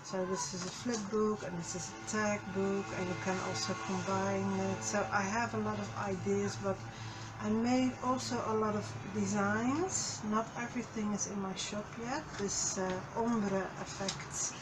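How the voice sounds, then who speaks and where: Slow, halting woman at a craft desk. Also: mid-range voice, steady tone, loud music behind the voice, poor audio. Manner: slow, halting, many fillers. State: a little hoarse. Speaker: craft channel host. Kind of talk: casual craft tutorial. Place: craft desk, overhead camera.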